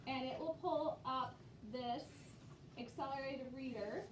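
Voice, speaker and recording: A woman's voice: high voice, steady tone, unhurried. A woman teaching elementary children in a classroom, giving directive, step-by-step instructions. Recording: echoey room, distant mic, faint noise.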